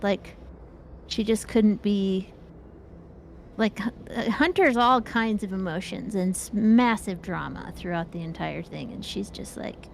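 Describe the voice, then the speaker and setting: A woman's voice: medium pitch, a little slow, gravelly, a little hiss or hum. A woman co-hosting a gaming show, chatting casually in a playful, rambling way while she plays. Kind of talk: casual chat while playing an online game.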